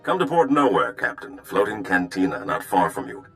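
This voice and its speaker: Deep, polished underworld schemer. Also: male voice, moderate pace, faint music behind, exaggerated voice. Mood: ingratiating. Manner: polished, flattering. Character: smooth-talking, courteous male underworld schemer. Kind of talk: video game cutscene acting.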